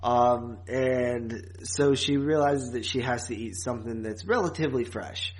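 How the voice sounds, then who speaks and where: A man's voice: mid-range voice, measured pace, a little hiss or hum, low-quality recording. A man co-hosting a podcast, recapping a TV series in casual, rambling, informal talk. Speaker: podcast co-host.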